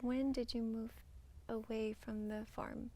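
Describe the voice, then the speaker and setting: A woman's voice: on the high side, level tone, takes it slowly, dark tone. A woman interviewing a guest, asking brief questions and offering encouraging remarks.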